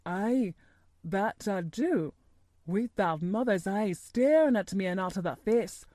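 Lady doing a slow gruff old guy, hoarse n shaky, storybook character, dry close sound. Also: mid-range voice, animated, warm tone, no echo. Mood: shaken, awed. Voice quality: hoarse, quavering. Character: ignorant, tactless old working man, shaken and gruff.

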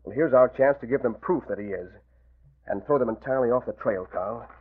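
A cold gangland boss in a vintage radio thriller, acted by a man. He has a husky mid-pitched voice and schemes with authority. The sound is narrow and muffled, an old broadcast recording. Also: fairly quick, natural voice. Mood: calculating. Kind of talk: 1940s radio drama acting.

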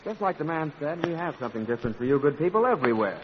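A man with a fairly high voice hosts a scripted forties radio variety show. The sound is dull, with some background hiss from the old recording. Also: big pitch swings, racing. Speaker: master of ceremonies.

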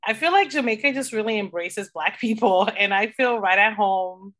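A woman's voice: mid-range voice, normal speed, a bit bright. A woman from the Dominican Republic speaking as an interview guest, telling personal stories in a candid, informal, conversational way.

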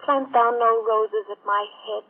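A woman's voice: on the high side, fairly even intonation, a little slow. A woman playing a dreamy, mournful actress reciting poetry theatrically on a played-back phonograph record. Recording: muffled vintage record, faint noise.

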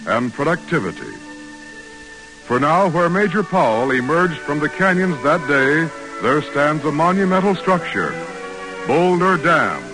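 A man's voice: on the high side, low-quality recording. An American man as a 1940s radio announcer, reading a script in a formal, declamatory way. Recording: vintage radio broadcast with music. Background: music.

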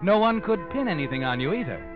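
An actor playing a sinister, all-knowing storyteller in 1940s radio suspense, sardonic and needling. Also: male voice, high voice, big pitch swings, fast. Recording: dull old radio recording. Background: dramatic music.

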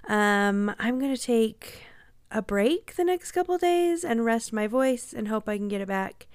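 Congested woman podcast host, smooth dry voice. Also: a little high, lively intonation, unhurried, no room sound. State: congested, voice affected by a cold. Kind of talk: casual podcast chat.

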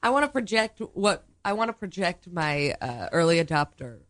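A slightly hoarse woman, a comedy guest on a podcast, talking in a deadpan, ironic way and laughing a lot. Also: on the low side, moderate pace. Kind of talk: casual comedic chat.

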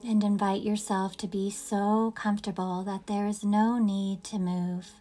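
A woman leading a guided yoga nidra meditation in a gentle, soothing, unhurried manner with long pauses. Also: medium pitch, level tone, soft background music.

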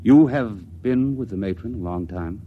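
A man's voice: on the low side, normal speed, phone-quality sound, natural voice. A man playing a guarded, deferential spaceship attendant in an old radio drama, speaking carefully and formally. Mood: guarded, uneasy. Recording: faintly noisy vintage radio recording.

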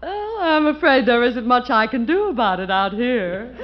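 A woman's voice: fairly high pitch, animated, normal speed, close to an everyday voice. An actress playing a prim, genteel middle-aged woman in a 1940s radio comedy, polite and dryly witty. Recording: dull 1940s radio broadcast.

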